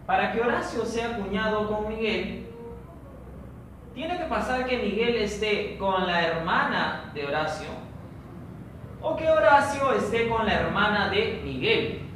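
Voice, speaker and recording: Slow, methodical male teacher in echoey room. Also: sits high, expressive, a bit bright. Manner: slow, methodical, explains step by step. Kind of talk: recorded online training lesson. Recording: echoey room, distant mic, noisy.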